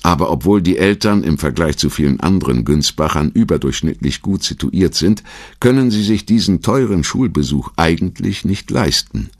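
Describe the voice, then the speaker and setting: Very deep-voiced male documentary narrator. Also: expressive, dry recording, clean studio sound. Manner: measured, storytelling delivery.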